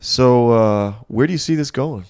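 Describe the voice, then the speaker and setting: Guy hosting a chat show, gravelly voice, dry room sound, laughs easily, quick questions. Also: mid-range voice, darkish tone, dry recording. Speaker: podcast host, interviewer. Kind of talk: casual interview chat.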